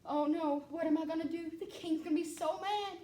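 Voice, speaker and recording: A woman voicing a flustered, panicky cook, with high, fretful exclamations, in a storybook reading. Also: very animated, normal speed. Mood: panicked. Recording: roomy distant mic, faint background noise.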